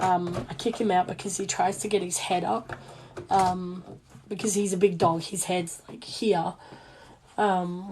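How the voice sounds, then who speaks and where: A young Australian woman talks in a fairly low, hoarse voice that sounds worn out. She rambles in an informal, self-deprecating way, as on a casual craft livestream. There is clear noise and clicking in the room behind her. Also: a little mellow, rough recording. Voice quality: hoarse, weakened voice. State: losing voice after illness. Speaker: small business owner and crafter livestreaming. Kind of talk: casual chatty livestream while sewing. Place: indoor home sewing workspace.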